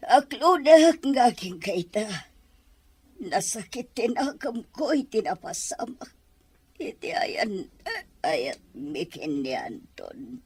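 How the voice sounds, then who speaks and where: A woman's voice: mid-range voice, big pitch swings, slow pace, husky, no room sound, exaggerated voice. A woman playing a bitter, tearful middle-aged mother in an audio drama, with an Ilocano accent. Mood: anguished, hurt. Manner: tearful, dramatic, swinging delivery. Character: heartbroken, bitter mother and lady of the house.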